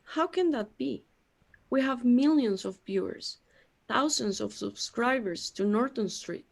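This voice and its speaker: Audio drama role: woman as puzzled, forgetful immortal, unhurried delivery, high-set voice, peevish questions. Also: lively intonation, vocal fry, full character voice. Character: bewildered, peevish immortal being with lost memory. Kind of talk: scripted audio drama acting.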